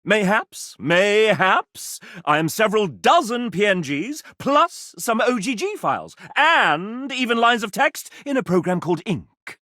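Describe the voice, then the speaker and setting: An actor voicing a sassy, vain gentleman mascot in a video game, theatrical and pompous, with flamboyant old-fashioned phrasing and a husky edge. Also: sits high, very animated, unhurried, few pauses, no echo, clean studio sound, full character voice. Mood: smug, cheeky. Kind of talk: video game character dialogue.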